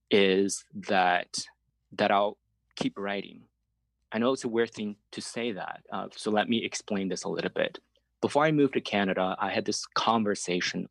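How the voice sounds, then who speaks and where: A man's voice: deep voice, vocal fry, no room sound. A man from China, a native Chinese speaker, giving earnest, reflective interview answers as a journalist and explaining his points conversationally.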